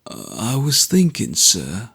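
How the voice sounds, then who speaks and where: A man voicing a gravely ill young man who speaks slowly, faintly and earnestly. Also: fairly low pitch, huge pitch swings, a bit bright, full character voice. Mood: weak, tender.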